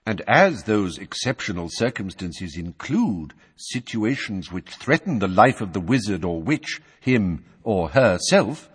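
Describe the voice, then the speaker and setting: A man voicing a calm, courteous old wizard who speaks in a measured, coolly polite way, with long hall reverb. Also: lowish voice, husky, full character voice.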